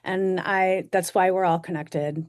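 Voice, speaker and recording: A woman's voice: fairly low pitch, steady tone, unhurried, a little mellow. A woman hosting a panel discussion, upbeat and effusive, thanking guests and announcing events. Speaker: host.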